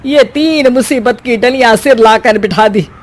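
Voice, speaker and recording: Spiteful, slow-scolding old grandmother acted out. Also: medium pitch, lightly acted. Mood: furious scolding. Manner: taunting, drawn-out melodramatic scolding. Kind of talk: melodramatic Hindi family story dub.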